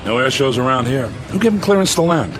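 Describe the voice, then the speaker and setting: A man playing a gruff, impatient American air force major in a radio drama, brusque and commanding. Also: mid-range voice, lively intonation, fast, noisy background, close to an everyday voice. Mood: curt, wary.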